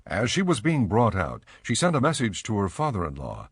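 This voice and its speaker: A man reading scripted scripture narration in a measured, solemn storytelling manner. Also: on the low side, huge pitch swings, husky, no echo, studio quality.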